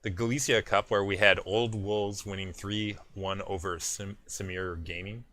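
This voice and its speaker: A man's voice: sits low, steady tone, normal speed, a bit bright. A man with a Canadian accent co-hosting an esports show, reading out match results in casual chat with frequent restarts.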